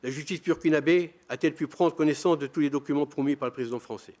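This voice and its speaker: An older man, a round-table panelist, reading a prepared formal statement in a measured, grave way, stumbling now and then on a word. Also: a little high, darkish tone.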